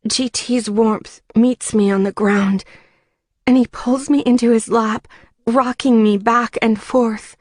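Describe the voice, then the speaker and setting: A woman reading an audiobook as dramatic first-person narration, in a measured, emotive way. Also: a little high, fairly even intonation, a little mellow, no echo, clean studio sound.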